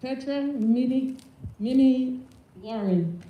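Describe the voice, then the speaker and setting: A woman with a fairly high voice reads names in a solemn roll call. She is measured and very slow, leaving long pauses between names. The recording is echoey and dull, with faint noise behind her. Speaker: speaker reading names at a memorial event.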